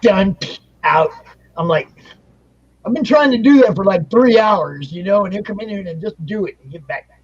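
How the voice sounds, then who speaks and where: A man's voice: high voice, animated, normal speed. A man in his thirties on a casual panel chat, bantering playfully in slangy speech. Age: 30s. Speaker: convention panel guest. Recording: husky voice on a dull lo-fi mic.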